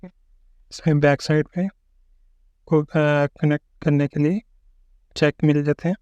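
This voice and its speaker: A man's voice: a little high, little pitch movement, takes it slowly, a little mellow, clear, resonant tone, dry recording, muffled recording. A man narrating an unboxing and setup tutorial, explaining each part step by step and often checking in with the viewer. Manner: explains step by step, keeps repeating "ठीक है". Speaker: tech reviewer.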